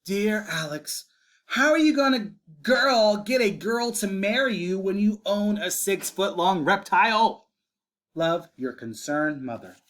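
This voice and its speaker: A man's voice: high voice, lively intonation, measured pace, a bit bright. A man as a skeptical, wry storybook mom. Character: skeptical, wry, patient mother of a young boy. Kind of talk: storybook read-aloud for children.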